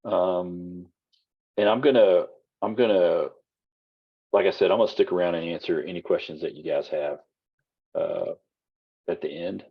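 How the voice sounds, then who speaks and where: A very low-voiced man with a husky, worn voice talks through a class lecture, loose and rambling with plenty of ums and restarts. The sound is dull and lo-fi, like a computer mic on a video call. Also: measured pace, pauses often. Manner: casual, rambling, many fillers and restarts. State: losing his voice. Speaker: college instructor.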